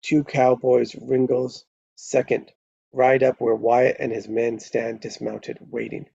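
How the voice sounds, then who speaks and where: Guy reading script action lines at a table read, creaky dark voice, kinda muffled close mic. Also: mid-range voice, completely flat delivery, takes it slowly, dry recording. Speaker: narrator reading stage directions. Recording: dull lo-fi close microphone.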